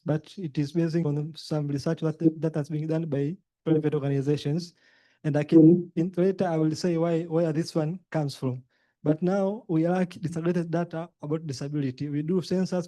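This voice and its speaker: A man's voice: a little high, warm tone, dry recording. A young man from Tanzania with a Tanzanian accent, a native Swahili speaker, giving a talk with frequent hesitations and restarts. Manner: hesitant, many fillers and restarts. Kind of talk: presentation talk.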